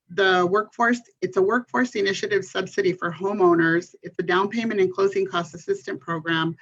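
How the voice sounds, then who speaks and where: A woman's voice: fairly low pitch, slightly rough. A woman from California's Central Valley introducing herself as a mortgage consultant on a panel, speaking steadily and earnestly with frequent um fillers. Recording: dull lo-fi sound.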